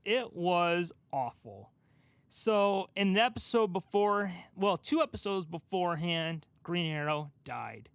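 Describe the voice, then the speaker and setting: A man's voice: high-pitched, animated, measured pace, a bit bright, smooth, no room sound. A man co-hosting a casual pop-culture chat, asking questions and giving his opinions in an informal way. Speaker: podcast co-host asking questions.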